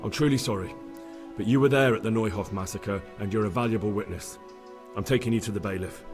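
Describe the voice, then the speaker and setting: A stubborn young medieval adventurer played by a male actor speaks in a lowish voice with earnest resolve. It is dramatic game cutscene dialogue, with faint music underneath. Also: quick pace, lightly acted. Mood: firm, regretful. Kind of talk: video game cutscene acting.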